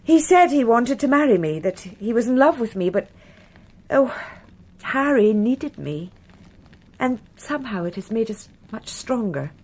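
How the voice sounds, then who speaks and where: An actress playing a frank, wistful married British woman in a radio crime drama, with a breathy voice. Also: fairly high pitch, big pitch swings, unhurried, darkish tone. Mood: confessional.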